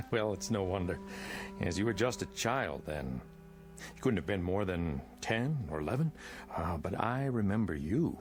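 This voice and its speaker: An actor playing a middle-aged financial consultant, unsettlingly polite and prying, with apologetic hesitations, in a vintage TV drama. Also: male voice, low voice, big pitch swings, moderate pace. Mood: probing, apologetic. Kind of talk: mid-century American TV drama acting. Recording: vintage TV soundtrack with music behind.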